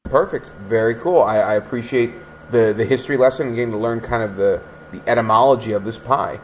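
A man's voice: medium pitch, fast, a little hiss or hum, low-quality recording. A man who owns a bookstore, interviewing an author over a video call with thoughtful, wordy questions. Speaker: bookstore owner hosting the event. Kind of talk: interviewing a guest.

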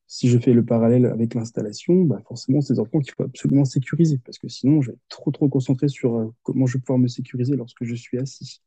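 A man's voice: mid-range voice, fairly even intonation, quick pace, few pauses, dark tone, breathy edge, no room sound. A man in his thirties, an occupational therapist, explaining patiently with examples in a video call interview. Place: home office with window. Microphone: headset on video call. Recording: muffled video call audio.

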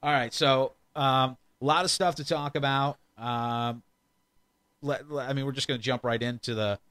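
A man co-hosting a podcast in relaxed casual chat, rambling with restarts. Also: mid-range voice, moderate pace, smooth, dry recording. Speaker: podcast co-host.

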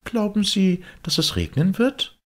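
A man's voice: high-pitched, expressive, unhurried, a bit bright, voice pushed up. A man voicing a sensible, anxious little storybook girl, polite, earnest and easily indignant.

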